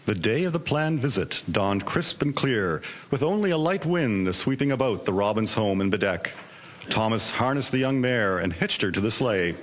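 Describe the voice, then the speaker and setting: A man narrating a historical tale in a measured, solemn, scripted storytelling manner. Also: fairly low pitch, expressive, background noise. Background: music with guitar.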